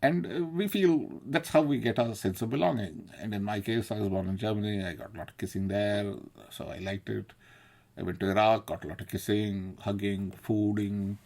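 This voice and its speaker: A man's voice: fairly low pitch, moderate pace, vocal fry, a little mellow. A man from South India, talking in a casual, digressive monologue, laughing often and swearing. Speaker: video essayist. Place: London.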